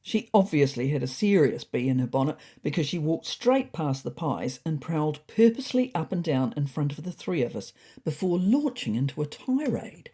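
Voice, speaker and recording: A woman voicing an anxious, earnest pet cat reading its diary in a measured, wistful storytelling manner. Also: low voice, very animated, dark tone, breathy edge, full character voice. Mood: weary, gloomy. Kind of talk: first-person diary narration.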